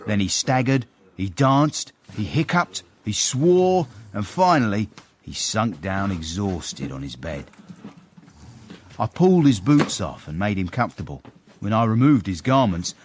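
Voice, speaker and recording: An actor playing a wry, knowing gentleman's manservant who narrates a period radio drama in a droll manner. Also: on the low side, a little hiss or hum. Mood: amused. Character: wry, knowing gentleman's manservant recounting his master's swindles. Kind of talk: in-character storytelling in radio drama.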